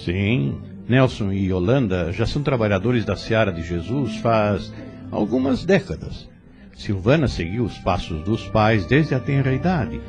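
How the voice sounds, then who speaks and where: A Brazilian man playing a serene, fatherly spirit instructor in an audio drama, speaking formally and consolingly as he teaches. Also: on the low side.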